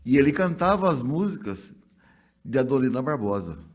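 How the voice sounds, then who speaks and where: Husky Brazilian councilman, reflective. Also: medium pitch, very animated. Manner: reflective, slowing on key words. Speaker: city councilman. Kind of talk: ceremony speech.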